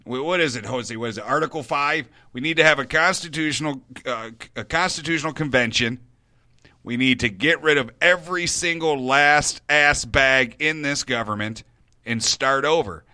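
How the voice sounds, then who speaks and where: A male radio host talks straight into a studio mic in a blunt opinion monologue. His voice is forceful and bright, smooth and clear, with a dry, close sound. He leans on repetition to hammer his points home. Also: mid-range voice, moderate pace, dry recording. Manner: emphatic, repeats words for stress, blunt.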